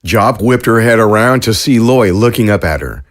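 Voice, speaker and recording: A man narrates a science fiction audio drama in a low voice. His telling is measured and even, a steady scripted read that carries the scenes.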